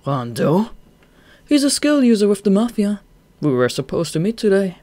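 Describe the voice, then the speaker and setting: A man's voice: high-pitched, very animated, normal speed, warm tone, no echo, voice pushed up. A man voicing a playful, morbid young man who jokes about dying and fakes a trembling, fearful tone. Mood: teasing. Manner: light, teasing, feigns trembling fear.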